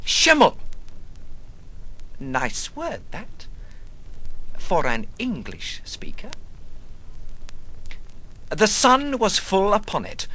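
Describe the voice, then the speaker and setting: An actor reading a literary monologue as a rambling, brooding, eccentric man recalling his past, theatrical and halting, moving between murmur and outburst. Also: high voice, pushed and bright, full character voice, poor audio. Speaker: reader of a literary prose monologue.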